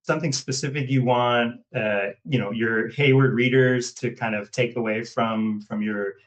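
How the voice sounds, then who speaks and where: A man with an American accent hosting an interview in a halting, wordy, conversational way, circling around his questions. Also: mid-range voice, fairly even intonation, muffled recording. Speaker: podcast host.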